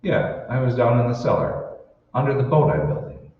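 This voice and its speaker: A man's voice: medium pitch, animated, normal speed, natural voice. A man playing a bewildered, skeptical everyman husband in an old-time radio drama, puzzled and questioning. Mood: skeptical, startled. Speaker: amateur stage actor. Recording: roomy, distant, dull hall recording with hiss.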